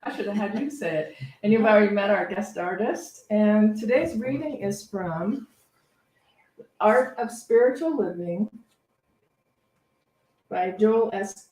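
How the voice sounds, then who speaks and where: A woman leads a service with casual announcements in a mid-pitched voice. She is informal and self-deprecating. The sound is echoey and somewhat far from the microphone. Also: lively intonation, measured pace, long pauses, darkish tone. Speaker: reverend hosting a service. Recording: echoey room, distant mic.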